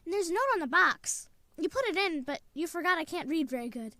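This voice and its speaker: A woman playing an innocent, excitable little boy in an old-time radio drama, with a childlike, earnest delivery. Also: very high-pitched, dry recording, clean studio sound, exaggerated voice. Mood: excited. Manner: childlike, earnest, halting when confessing.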